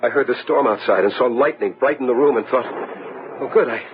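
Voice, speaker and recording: An actor playing a haunted, overwrought widower in an old-fashioned gothic radio drama, speaking haltingly and repeating himself. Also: male voice, medium pitch, lightly acted. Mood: haunted, horrified. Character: haunted, nerve-shattered widower in gothic horror. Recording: muffled radio drama recording, music behind.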